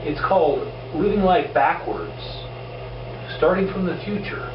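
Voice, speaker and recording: A man with a hoarse, fading voice talks earnestly about self-improvement, stumbling over repeated words as he goes. His voice sits a bit high, and he is picked up from some distance in an echoing room. The sound is dull, with a steady hiss of noise underneath. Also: a little slow. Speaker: host of a self-improvement video show. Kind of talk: casual motivational monologue. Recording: roomy, distant, dull and noisy.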